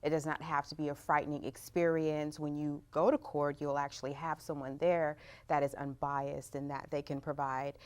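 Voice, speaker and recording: A woman giving measured, articulate explanations as a guest in a panel interview. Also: deep voice, level tone.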